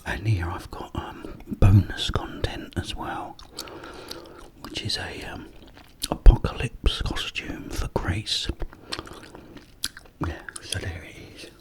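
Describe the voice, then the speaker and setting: A British man speaks in a close, hushed whisper. His delivery is very slow and low, with pauses between short phrases. He rambles casually in the style of an ASMR creator telling a story. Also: fairly even intonation. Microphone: close microphone.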